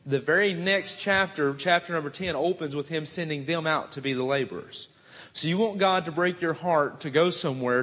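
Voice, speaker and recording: A man's voice: on the high side, fairly bright tone. A man in his twenties with a Southern US accent, a missionary preacher introducing himself before his sermon in a folksy way with many "uh" fillers. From Virginia, US. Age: 20s. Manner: folksy, frequent "uh" fillers, self-deprecating asides. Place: church sanctuary. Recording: long hall reverb.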